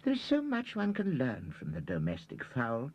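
A man voicing a timid, fussy older gentleman who talks in a hesitant, prim, preachy way. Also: mid-range voice, wildly swooping pitch, normal speed, no echo, dull audio, voice pushed up.

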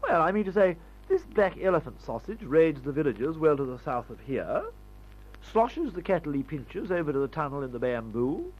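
A jaunty upper-class English airman from an old radio adventure serial. He drawls and blusters with a wide, bouncing pitch, on a dull, muffled recording. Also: sing-song, moderate pace. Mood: jaunty, complacent. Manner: drawling, blustering, peppered with old-fashioned slang.

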